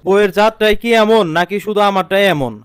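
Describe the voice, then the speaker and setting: Man playing a high-voiced, put-upon Bangladeshi husband. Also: fast talker, smooth, dry recording, clean studio sound, close to an everyday voice. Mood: exasperated. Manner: protesting, complaining. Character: put-upon, exasperated young cartoon husband and son. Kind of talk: comedy cartoon dialogue.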